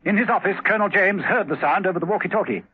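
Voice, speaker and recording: A man's voice: high-pitched, expressive, racing, husky, exaggerated voice. A man narrating a spy thriller dramatically in scripted radio drama, on a thin, phone-like old recording. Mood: dramatic, suspenseful.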